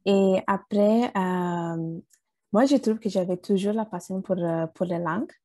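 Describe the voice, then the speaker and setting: A woman's voice: mid-range voice, a little slow, mellow, no room sound. Hesitant woman, dry sound. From Italy. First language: Bengali and Italian. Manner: hesitant, many fillers and restarts. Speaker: interview guest. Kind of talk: conversational interview.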